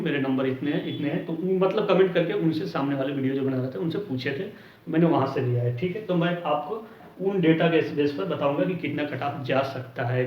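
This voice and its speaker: A man giving an informal explanatory lesson as an exam coaching teacher, often checking in with short tag words. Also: fairly high pitch. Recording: roomy, distant mic, dull lo-fi sound.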